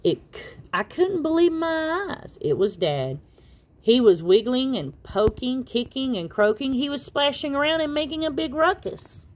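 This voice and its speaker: A woman reading a children's picture book aloud as an expressive narrator. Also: sits high, moderate pace. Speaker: storybook reader.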